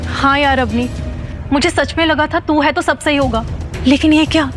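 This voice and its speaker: A woman's voice: high voice, normal speed, music in the background, natural voice. A woman playing a snobbish, indignant young woman in an audio drama, scornful and complaining. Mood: disappointed. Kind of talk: Hindi audio drama acting.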